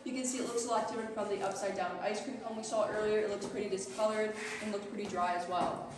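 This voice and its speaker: A woman's voice: medium pitch, fairly even intonation. Young woman tour guide in an echoey cave. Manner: informative, measured, explains to a group. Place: large cave, indoors. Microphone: far camera held by a visitor. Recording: echoey cave recorded from far off.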